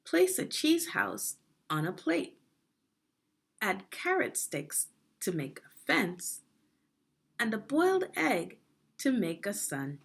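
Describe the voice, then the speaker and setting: A woman reading aloud a children's instructional book like a teacher, phrasing deliberately and putting prompting questions to young readers. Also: medium pitch, huge pitch swings, creaky, slightly rough. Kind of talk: read-aloud guided reading lesson.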